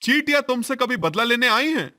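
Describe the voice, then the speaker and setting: A patient, reassuring cartoon doctor, voiced by a man. His voice sits high, moves in a lively way and sounds bright, and he speaks gently to a child. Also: a little fast, exaggerated voice. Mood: coaxing. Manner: gentle, coaxing.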